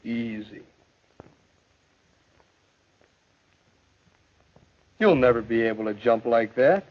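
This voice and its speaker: Actor playing earnest American teenage boy, lively rather high pitch, husky, stagey 1950s educational film acting, muffled soundtrack. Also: normal speed, lightly acted. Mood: self-doubting. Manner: earnest, stagey thinking aloud. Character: earnest, self-doubting high school boy athlete.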